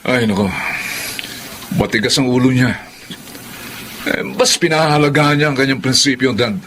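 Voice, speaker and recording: Male actor as grown son, hesitant and deferential, medium pitch, radio drama with faint background noise. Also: moderate pace, fairly bright tone, close to an everyday voice. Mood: hesitant, exasperated. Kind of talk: Filipino radio drama acting.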